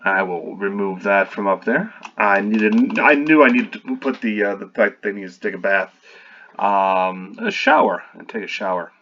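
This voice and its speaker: A man's voice: fairly low pitch, normal speed, fairly bright tone, highs cut off, a little hiss or hum. A man reading his own screenplay aloud while revising it, stopping often to correct himself and add wry asides.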